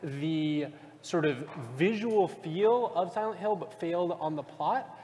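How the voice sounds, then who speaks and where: A man on a live panel at a convention, with a fairly high voice. He argues in an animated, emphatic way. His voice echoes around a large hall. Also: unhurried.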